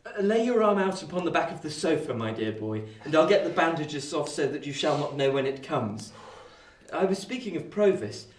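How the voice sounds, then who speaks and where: An actor playing a kindly, attentive young gentleman in a radio play, with a gentle, solicitous and theatrical way of talking. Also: fairly high pitch, very animated, normal speed, slight background noise. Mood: gentle, chatty. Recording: roomy, distant-microphone recording.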